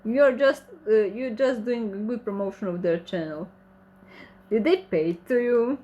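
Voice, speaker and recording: A woman's voice: fairly high pitch, measured pace, warm tone, lo-fi sound. A woman with a Croatian accent, who speaks Croatian natively, hosts a casual livestream Q&A. She reads viewer comments aloud and answers them in friendly, slightly broken English. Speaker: channel host reading chat questions.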